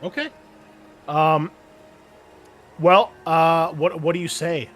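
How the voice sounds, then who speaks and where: A man's voice: a little high, steady tone, slow, fairly bright tone, soft background music. A man running a tabletop roleplaying game as game master, amused and easygoing, explaining rules with many fillers.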